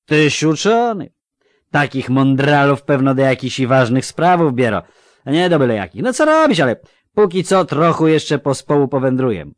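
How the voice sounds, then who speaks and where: A man's voice: mid-range voice, sing-song, moderate pace, no room sound, studio quality, voice pushed up, natural voice. A man voicing a drunk, boastful young country lad who talks in a slurred rustic dialect. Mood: tipsy.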